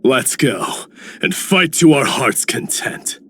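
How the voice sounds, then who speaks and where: A man's voice: mid-range voice, sing-song, slow pace, fairly bright tone, breathy edge, exaggerated voice. A man voicing a hearty, eager noble warrior in scripted video game lines. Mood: spirited.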